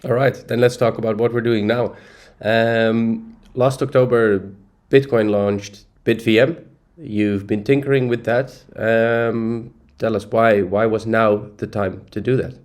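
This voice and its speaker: A man with a fairly low voice hosts a podcast. He steers the talk with short, direct questions in a relaxed, conversational way. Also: a little slow. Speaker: podcast host. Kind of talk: interview questions.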